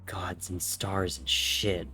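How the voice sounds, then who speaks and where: Man voicing a deep, stern warrior god. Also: takes it slowly, faint music behind, full character voice. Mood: hushed dread. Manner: deadpan, solemn, demanding. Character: gruff, stern male warrior god, solemn and commanding. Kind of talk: dramatic fiction reading.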